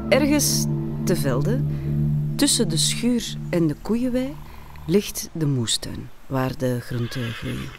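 A woman narrating an audio play for children and families, playfully speaking straight to the listener with deliberate pauses. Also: low-pitched, expressive, vocal fry, over loud music.

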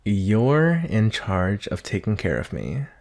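Slow-talking, shy young naga roommate played by a man. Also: fairly low pitch, very animated, a little mellow, exaggerated voice. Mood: explaining, apologetic. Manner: hesitant, nervous, rambling, apologetic. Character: shy, earnest nineteen-year-old male naga monster roommate. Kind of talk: ASMR roleplay, soft conversational acting.

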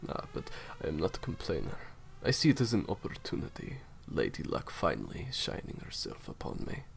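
A man acts out a menacing gentleman villain in a roleplay drama. His voice is low, crackling with fry and sweeping in intonation as he delivers courteous, formal threats. There is faint noise behind him. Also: wildly swooping pitch, heavily acted. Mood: smug, courteous. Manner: formal, unhurried, theatrically polite threats. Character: menacing, courteous male villain, cold captor. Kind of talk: roleplay audio drama monologue.

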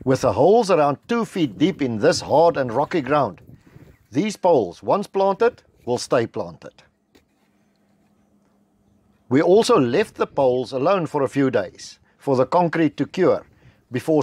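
A middle-aged South African man with an Afrikaans background, narrating a homestead building vlog in a measured, matter-of-fact voice-over. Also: medium pitch, sing-song, darkish tone, husky, dry recording. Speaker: homestead vlogger. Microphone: close voice-over mic.

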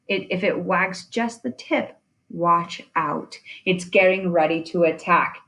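A woman reading a children's nonfiction picture book aloud in a deliberate way, with chatty asides. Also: fairly low pitch, low-quality recording. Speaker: reader of children's science book.

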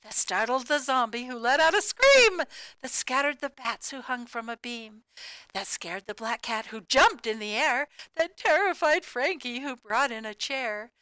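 Female storybook reader, crisp and close-sounding, expressive sing-song delivery of cumulative rhymes for young children. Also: high voice, a little slow, dry recording, poor audio. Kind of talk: animated children's read-aloud of a rhyming picture book.

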